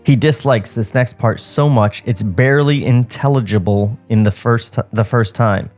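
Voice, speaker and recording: A man's voice: mid-range voice, moderate pace, a little mellow, soft background music. A man co-hosting a podcast, narrating script stage directions and dropping casual joking asides, on a studio microphone.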